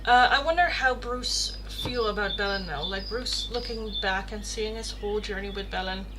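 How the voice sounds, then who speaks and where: A woman's voice: mid-range voice, normal speed. A woman from the Netherlands, a native Dutch speaker, chatting casually and expressively as she hosts a live tarot stream. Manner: chatty, expressive, swears playfully. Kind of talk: casual live chat with viewers. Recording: clear background noise.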